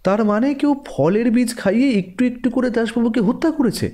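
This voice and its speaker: High-voiced male audio drama narrator. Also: brisk, dark tone.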